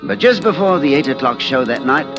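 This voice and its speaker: Male actor for betrayed husband, old radio thriller: brooding, calculating, deliberate, very expressive range, music underneath. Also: medium pitch, close to an everyday voice. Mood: brooding, bitter. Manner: brooding, deliberate confessional delivery with dramatic pauses. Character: betrayed husband, stage performer, bitter, quietly calculating narrator. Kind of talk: old-time radio suspense acting. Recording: vintage radio broadcast recording with music.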